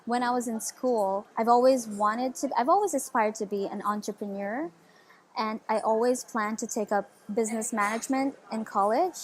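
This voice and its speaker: Woman, twenties, from the Philippines, interview guest, chatty, fillers, slightly high voice. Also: a little hiss or hum, low-quality recording. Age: 20s. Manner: eager, chatty, fillers like "like" and "you know". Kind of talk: casual conversational interview.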